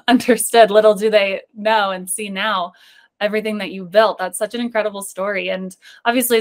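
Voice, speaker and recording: A young woman hosting an interview show over a video call, presenting in a prepared introduction-and-questions style. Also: mid-range voice. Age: young adult. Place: indoor room, on a video call. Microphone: video call microphone.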